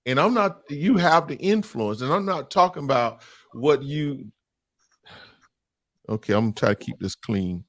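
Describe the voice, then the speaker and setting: A man's voice: medium pitch, normal speed, pauses often. A middle-aged man hosting a men's discussion, talking in an emphatic, preaching style that repeats and stresses its points. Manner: emphatic, repetitive, animated. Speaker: podcast host leading a men's discussion. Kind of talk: impassioned preaching-style talk.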